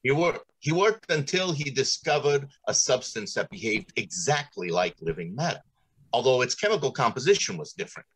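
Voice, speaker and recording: Husky, animated factory manager acted by a man. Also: mid-range voice, moderate pace, low-quality recording. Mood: enthusiastic storytelling. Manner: theatrical, businesslike, hesitating with ums. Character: pompous, courteous male general manager of robot factory. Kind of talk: old-time radio drama acting.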